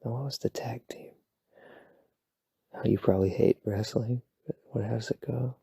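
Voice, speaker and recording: Breathy whispering man, gravelly. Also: mid-range voice, steady tone, normal speed, no room sound. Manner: soft, rambling, self-deprecating, playful. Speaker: ASMR boyfriend roleplay creator. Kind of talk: whispered sleep-aid rambling. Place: home at night.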